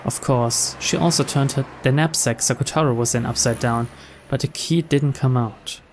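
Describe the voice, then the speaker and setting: A man's voice: mid-range voice, moderate pace, a little hiss or hum. A man reading a visual novel's story aloud as scripted narration. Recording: soft music behind the voice.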